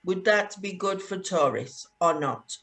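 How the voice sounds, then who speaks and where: A woman from the UK teaching English, guiding a conversation class in slow, clear speech with many fillers. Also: lowish voice, expressive, a bit bright. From United Kingdom.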